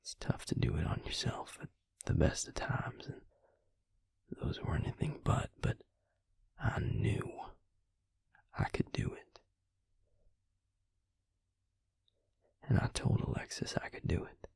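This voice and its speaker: A man playing a bitter, wounded vampire recalling his trauma in an intimate audio roleplay, speaking in a halting, breathy whisper. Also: no echo, lightly acted. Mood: grim, pained. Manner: halting, hushed, with heavy pauses. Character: wounded, bitter male vampire, once a healer, recounting trauma.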